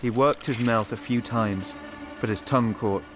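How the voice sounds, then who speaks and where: A man's voice: mid-range voice, moderate pace. A man reading a third-person horror story as a scripted narration, with music behind him. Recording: music under the voice.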